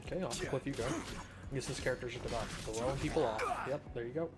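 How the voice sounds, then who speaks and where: A man's voice: mid-range voice, fast talker, loud music behind the voice. A young man giving casual, slangy, joking gameplay commentary as a streamer. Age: young adult. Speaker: game streamer.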